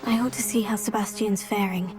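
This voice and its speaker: An actress playing a polite, caring young student witch with a slightly breathy voice. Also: medium pitch, steady tone, a little fast, darkish tone, soft background music, lightly acted. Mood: calm, concerned.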